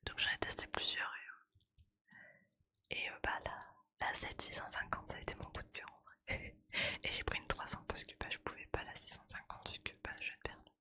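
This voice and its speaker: A woman's voice: a little fast, bright, forceful tone. A woman whispering breathily in a casual, playful ASMR chat, with slangy, colloquial speech as she streams to her viewers.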